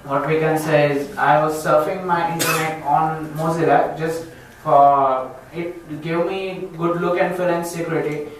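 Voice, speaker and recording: Echoey, monotone Indian man introducing himself. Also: fairly high pitch, measured pace, few pauses, slight background noise. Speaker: student attendee speaking at a community meetup. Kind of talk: informal self-introduction to a group. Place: echoey indoor event room. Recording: echoey room, distant microphone.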